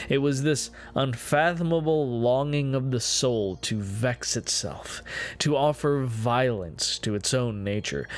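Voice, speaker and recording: A man voicing a remorseful, perverse man confessing dark crimes in a solemn, theatrical reading of a gothic horror story. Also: medium pitch, close to an everyday voice. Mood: brooding, intense. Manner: solemn, deliberate, theatrical, formal.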